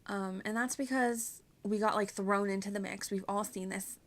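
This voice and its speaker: A woman's voice: mid-range voice, steady tone, normal speed. A woman streaming a video game with casual, rambling, self-deprecating and giggly chat. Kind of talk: casual livestream chat over gameplay.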